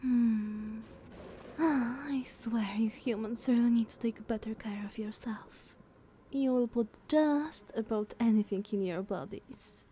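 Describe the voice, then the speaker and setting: A woman playing a playful, teasing younger vampire sister in ASMR roleplay, sultry and breathy, often near a whisper, coy and purring. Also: a little high, slow, slight background noise. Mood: amused, nostalgic. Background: soft ambience.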